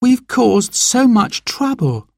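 An anxious young girl from a children's storybook, acted out by a man. Her voice is pushed high and sways with feeling, pleading and full of guilt. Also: big pitch swings, slow, gravelly, no echo, studio quality, full character voice.

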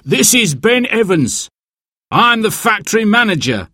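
A man voicing an aggrieved male factory manager in a storybook, speaking in a forceful, emphatic, indignant way. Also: sits high, big pitch swings, unhurried, dry recording, clean studio sound, pitched above the performer's own voice, full character voice. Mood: angry, upset.

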